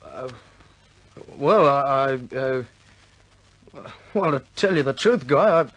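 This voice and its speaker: A man's voice: mid-range voice, animated, slow, husky, highs cut off, full character voice. An actor in a radio drama playing a sheepish, protesting young airman with a hesitant, informal way of talking.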